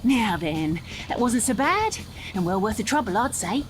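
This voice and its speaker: A woman's voice: a little high, sing-song, moderate pace, faint music behind. A woman voicing a brash, plucky young English boat captain in a cartoon game, feisty and self-assured. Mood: pleased. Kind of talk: scripted cartoon game acting.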